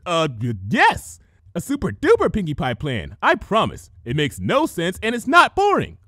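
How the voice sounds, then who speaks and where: A man voicing an excitable, playful young female pony with a childish, cheerful manner in a storybook reading. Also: sits high, normal speed, no room sound, studio quality, voice pushed up, heavily acted. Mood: joyful, playful. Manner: cheerful, sing-song, childish.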